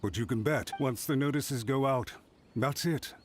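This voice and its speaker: An actor playing a haughty, blustering clan official in a video game, pompous and theatrically indignant. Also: male voice, mid-range voice, normal speed, a little hiss or hum, natural voice. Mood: smug, insistent. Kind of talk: scripted video game voice acting.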